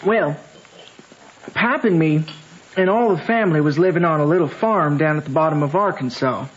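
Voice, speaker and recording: An actor playing an orphaned Southern boy, telling his tale in a folksy, halting way in a radio drama. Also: on the high side, close to an everyday voice. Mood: mournful, earnest. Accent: American Southern. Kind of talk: old-time radio drama acting. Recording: dull, hissy old radio broadcast.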